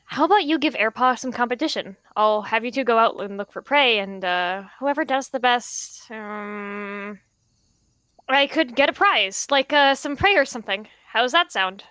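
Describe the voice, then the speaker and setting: A woman's voice: a little high, animated, sharp tone, clear, resonant tone, no echo. Mountainleap, a woman voicing a nervous she-cat. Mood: uneasy. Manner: hesitant, halting with fillers. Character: nervous young she-cat warrior mentor. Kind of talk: voice roleplay.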